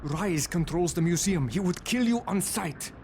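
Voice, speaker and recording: A man's voice: sits high, expressive, moderate pace, a little mellow, slight background noise. A man playing a nervous, anxious museum curator in a video game. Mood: wary, dry. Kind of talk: video game cutscene acting.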